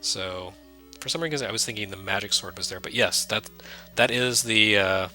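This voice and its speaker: A soft-spoken man giving loose, self-deprecating commentary while streaming a retro game, full of fillers and restarts. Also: lowish voice, moderate pace. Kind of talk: casual live-stream commentary. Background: game music under the voice.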